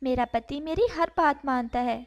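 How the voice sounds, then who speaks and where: A woman voicing a kind, caring female sparrow in a cartoon story, with a gentle, earnest, motherly manner. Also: high voice, normal speed. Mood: determined. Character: kind, caring female sparrow who adopts orphaned chicks. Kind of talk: Hindi cartoon story acting.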